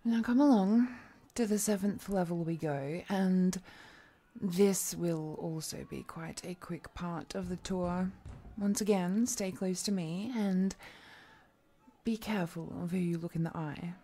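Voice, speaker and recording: A woman's voice: fairly low pitch, measured pace, warm tone. A woman playing an affectionate, playful demon guide through hell in a fantasy audio roleplay, chatty, coaxing and protective toward the listener. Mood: brisk, guiding. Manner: coaxing, chatty, protective, with pet names and small hesitations.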